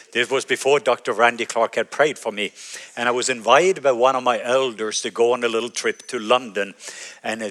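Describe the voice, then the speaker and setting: A middle-aged Norwegian pastor preaching in English with a Norwegian accent, telling a story with frequent laughs and halting restarts. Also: male voice, mid-range voice, a bit bright. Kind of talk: sermon.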